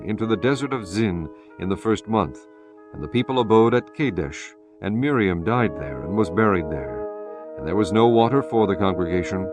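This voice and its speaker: Man, fairly low pitch, solemn scripture narration, formal, measured, clear background music. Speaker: audio Bible narrator.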